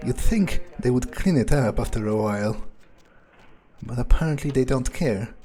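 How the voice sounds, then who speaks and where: Man playing a mellow, musing, blasé vampire. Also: medium pitch, husky, natural voice. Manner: musing, sardonic, halting. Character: blasé, scheming male vampire thinking aloud. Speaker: gamer role-playing a character. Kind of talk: in-character improvised game playthrough monologue.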